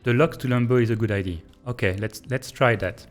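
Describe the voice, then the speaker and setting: A man with a French accent, a native French speaker, thinking aloud in a hesitant, pause-filled way as he streams and comments on a train-building game. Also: medium pitch, soft background music. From Belgium. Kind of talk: casual live-stream gameplay commentary.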